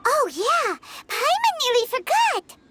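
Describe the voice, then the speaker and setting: A woman voicing a tiny, childlike fairy companion in a video game, squeaky, eager and talkative. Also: big pitch swings, slow pace, heavy background noise, exaggerated voice. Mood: eager, curious. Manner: chirpy, speaks of herself in third person. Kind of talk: video game character dialogue. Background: game music.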